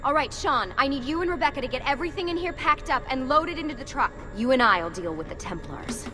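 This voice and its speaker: A woman playing a determined young team leader on the run in a video game, brisk, urgent and commanding. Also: high voice, lively intonation, pushed and bright, faint music behind. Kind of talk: video game dramatic acting.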